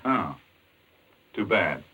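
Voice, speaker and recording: An educated gentleman doctor with a low, husky voice. He is courteous, curious and measured, with varied intonation. It sounds like a muffled old 1940s radio drama. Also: very animated, heavily acted. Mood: interested. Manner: polite, reserved, measured. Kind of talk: 1940s radio mystery acting. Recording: muffled, band-limited 1940s radio recording.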